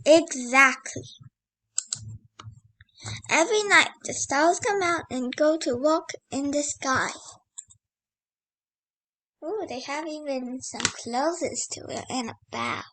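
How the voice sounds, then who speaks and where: Child, high voice, unhurried reading, picture book read-aloud, spontaneous wondering comments. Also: pushed and bright, poor audio.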